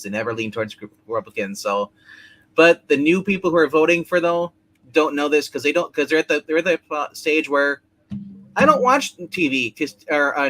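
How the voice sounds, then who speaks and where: Guy on a stream talking casual and opinionated, forceful bright voice, mid pitch, repeats himself. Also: low-quality recording. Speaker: livestream co-host or guest. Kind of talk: casual political chat.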